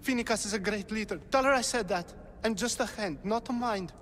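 An actor playing a cowardly, poor young artist who pleads fearfully in a video game cutscene. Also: male voice, high-pitched, a little fast, a bit bright. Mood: frightened pleading.

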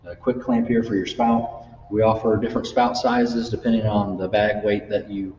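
A young man giving a casual live product demo, halting with many ums and uhs. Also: lowish voice, flat delivery, mellow, slight background noise. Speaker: product demonstrator. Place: large trade-show style hall, indoors. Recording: distant echoey hall, dull sound.

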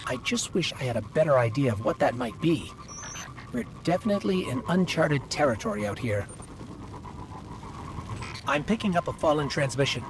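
A man's voice: medium pitch, background noise. A man voicing a friendly, earnest, slightly anxious robot companion in a video game. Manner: conversational, earnest.

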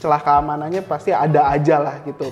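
A man's voice: on the high side, flat delivery, fast talker, a little mellow, muffled recording. A young adult man, explaining things casually as an interview guest, often acting out examples. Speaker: interview guest, cyber security engineer. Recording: roomy echo, faint background music.

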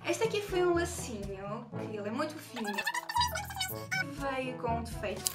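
A woman's voice: fairly high pitch, expressive, moderate pace. A young woman with a European Portuguese accent, showing cheap online purchases in a hesitant, filler-heavy way. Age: young adult. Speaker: beauty vlogger. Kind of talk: casual haul video. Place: small bathroom. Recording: echoey bathroom with loud music.

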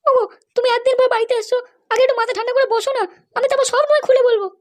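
A woman's voice: very high voice, fairly even intonation, very fast. A woman voicing a defensive, pleading wife in a comedy cartoon, full of excuses.

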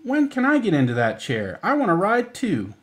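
A man's voice: high voice, huge pitch swings, moderate pace, fairly bright tone, voice pushed up, heavily acted. A man voicing a cheerful, eager little girl from a children's picturebook. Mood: amused, eager.

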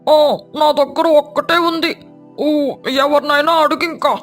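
Casting: woman for sparrow schoolkid, very high childlike voice, matter-of-fact, cartoon storytelling over music. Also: little pitch movement, normal speed, fairly bright tone.

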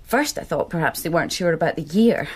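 A husky, fairly low-voiced woman who eagerly explains old records. She talks in a patient, explanatory way. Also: lively intonation, a little fast. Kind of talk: BBC radio drama acting.

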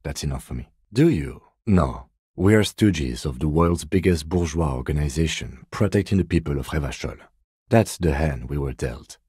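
An actor playing a reserved, principled middle-aged police detective in a video game, with a measured, dry and formal manner. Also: male voice, extremely low pitch, lively intonation, dark tone, husky, no echo, exaggerated voice. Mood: earnest, reserved.

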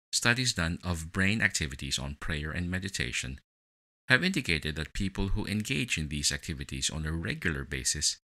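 A man hosting a meditation podcast, reading a script in a measured, deliberate way. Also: deep voice, bright, forceful tone. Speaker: podcast host.

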